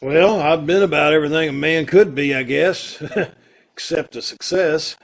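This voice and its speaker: Husky, wry, guarded prisoner acted by a man. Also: fairly high pitch, moderate pace. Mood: wry, dismissive. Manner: curt, casual, wry. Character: guarded, wry, world-weary male condemned prisoner. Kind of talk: amateur old-time radio play acting.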